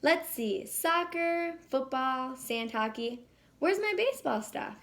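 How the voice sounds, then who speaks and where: A woman voicing an eager, excitable young male cartoon sea sponge in a storybook, with bubbly enthusiasm. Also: high voice, slow pace, full character voice. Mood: excited, eager.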